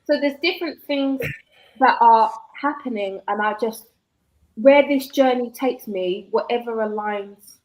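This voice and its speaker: A woman, a guest author talking casually in an interview, chatty and quick to laugh. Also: a little high, rough recording. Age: 40s.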